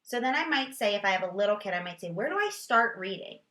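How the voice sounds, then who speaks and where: Bright-voiced woman teaching read-aloud. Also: mid-range voice, fast. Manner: expressive, sing-song storybook reading and child-directed questioning. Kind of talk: read-aloud lesson with reading tips for parents. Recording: roomy echo.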